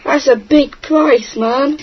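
A woman heard in a played-back show clip, speaking slowly and drawn-out. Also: high-pitched, highs cut off, heavy background noise. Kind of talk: clips from a past show.

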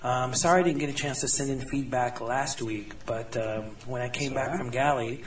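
A man's voice: medium pitch, fairly even intonation, moderate pace, distant mic, a loud crowd. Hesitant man recorded from afar. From California. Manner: hesitant, many ums and uhs. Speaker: listener sending an audio message. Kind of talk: recorded voice message, casual review.

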